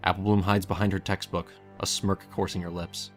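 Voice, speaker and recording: A man's voice: low voice, little pitch movement, fairly quick, soft background music. A man reading a scripted story aloud as narrator, addressing the listener as the main character. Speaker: fan fiction narrator.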